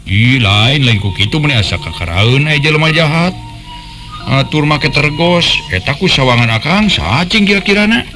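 A man voicing an excitable, prying youngest brother in a village radio tale, with colloquial questioning speech. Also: on the high side, moderate pace. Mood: defensive, curious. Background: faint music.

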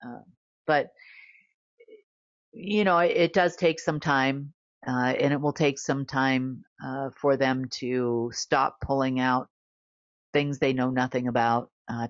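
A woman's voice: extremely low pitch, slow, no echo. A middle-aged woman speaks off the cuff in a studio, chatty and meandering with frequent fillers. The room is dead dry and the sound a little muffled. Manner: rambling, many fillers, laughs often. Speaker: guest reporter. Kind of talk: unscripted monologue to camera. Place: studio with plain backdrop.

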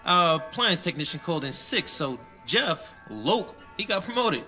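Snippy fast food worker in a game, bright swoopy voice, music behind. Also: mid-range voice, very animated. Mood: dismissive. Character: offhand, dismissive fast-food counter worker. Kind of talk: video game cutscene acting.

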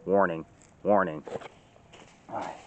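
A man in his thirties talking casually to a handheld phone camera outdoors in the woods, getting loud and excited as he shows off his work. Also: sits low, takes it slowly, heavy background noise. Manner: chatty, gets loud and excited showing off. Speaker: outdoors vlogger. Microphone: phone camera held at arm's length. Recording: dull lo-fi phone audio outdoors.

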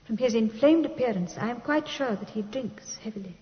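A woman playing a refined, anxious young British gentlewoman reading a letter aloud in 1950s radio drama. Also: on the high side, brisk, close to an everyday voice. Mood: composed, uneasy. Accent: British English. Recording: muffled 1950s radio, long reverb.

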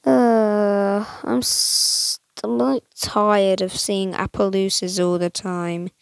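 A young woman gives loose, meandering commentary while she plays, filling the gaps with likes and ums. Her voice sounds a bit croaky and gravelly, and her talk is slow and unhurried. The sound is dry and close, with no room around it. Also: mid-range voice, little pitch movement, no echo. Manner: chatty, rambling with many fillers. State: slightly croaky voice. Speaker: gaming channel host. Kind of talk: casual gameplay commentary.

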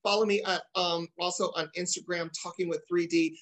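A man's voice: high voice, fairly even intonation, a little fast, a bit bright. Middle-aged male talk show host, enthusiastic and emphatic, speaking on a video call. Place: indoor room at home, on a video call.

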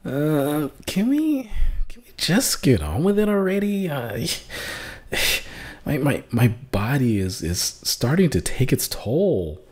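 A man's voice: very animated, slow pace, a little mellow, husky. A man at home practicing voice acting, reading the same short lines over and over in different emotions, often stammering and restarting. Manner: stammering restarts, swinging pitch between takes. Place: home room. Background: dogs, keyboard clicks, chat pings.

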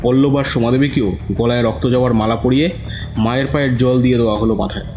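A man's voice: mid-range voice, fairly even intonation, quick pace. Male horror storyteller over faint music. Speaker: narrator. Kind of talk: scripted horror story narration, in first person. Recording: dull audio with music bed.

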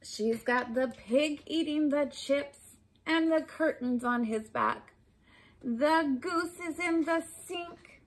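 A woman's voice: sits high, slow pace, poor audio. A woman in her forties reading a children's picture book aloud expressively, speaking to young listeners with questions and asides. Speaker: storybook reader for children. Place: small furnished room at home, indoors.

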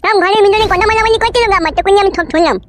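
A woman voicing a cheeky, foul-mouthed little village boy in a comedy cartoon, with a rural West Bengal accent and a pitched-up voice. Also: rapid-fire. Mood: tattling. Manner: brash, mouthy.

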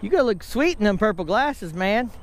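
A man's voice: sits high, sing-song, fairly quick. A middle-aged man, chatting in a folksy, joking way as he vlogs from his motorcycle. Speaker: motovlogger. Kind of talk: casual vlog chat. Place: outdoors, on a motorcycle and in a driveway. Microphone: helmet-mounted action camera. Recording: wind and road noise outdoors.

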